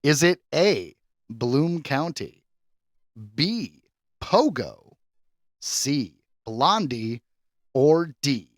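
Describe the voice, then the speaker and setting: A man's voice: fairly high pitch, sing-song, very slow, pauses often, dry recording, studio quality. A man hosting a pop culture quiz on a podcast in a casual, chatty style, announcing questions and verdicts theatrically. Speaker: podcast host and quizmaster.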